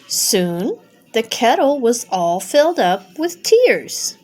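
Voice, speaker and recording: A middle-aged or older woman, a grandmother, narrating a children's storybook aloud with simple, expressive phrasing. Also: fairly high pitch, slow. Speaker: grandmother reading a bedtime story.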